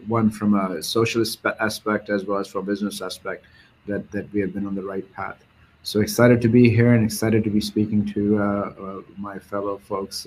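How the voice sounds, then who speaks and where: Pakistani guy in a business interview, deep-ish flat voice, stops and restarts a lot, bit of noise. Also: poor audio. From Karachi, Pakistan. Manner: hesitant, halting delivery with repeated words. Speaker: interview guest, business executive. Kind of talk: conversational interview answers.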